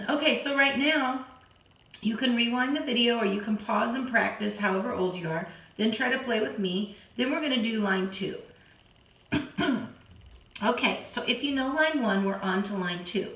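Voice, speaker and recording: A hoarse woman who keeps clearing her throat, patiently teaching a children's keyboard lesson and counting beats aloud. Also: fairly high pitch, measured pace, a bit bright. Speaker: piano teacher. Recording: roomy, from a distance. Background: keyboard playing.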